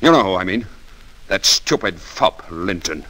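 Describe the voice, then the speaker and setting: A man's voice: deep voice, animated, a little slow, fairly bright tone, exaggerated voice, low-quality recording. An actor playing a bitter, passionate young stable boy with intense theatrical delivery in a 1940s radio drama. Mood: jealous, sullen.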